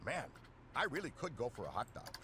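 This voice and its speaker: An actor playing an earnest, eager middle-aged paranormal scientist in a video game, talking in technical, rambling turns. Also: male voice, mid-range voice, big pitch swings, fast talker, noisy background, close to an everyday voice. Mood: wistful, eager.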